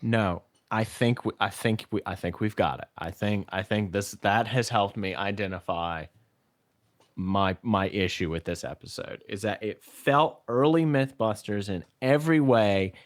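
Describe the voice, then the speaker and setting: A man's voice: fairly low pitch, a little slow. A man co-hosting a casual podcast, playful and often repeating himself as he works out a thought. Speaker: podcast co-host. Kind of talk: casual chat.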